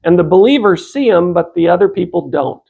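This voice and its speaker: A fifty-something American man speaks as an informal religious lecturer. His voice sits high for a man and has a strong gravelly creak. He talks conversationally, posing questions to his listeners and voicing imagined exchanges. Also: lively intonation, brisk, mellow.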